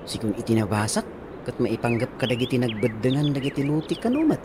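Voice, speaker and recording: A man's voice: medium pitch, sing-song, fast, noisy background, lightly acted. A man with an Ilocano accent acting a casual villager in a conversational radio drama scene. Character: villager chatting with an elder about a meeting. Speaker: voice actor in radio comedy drama.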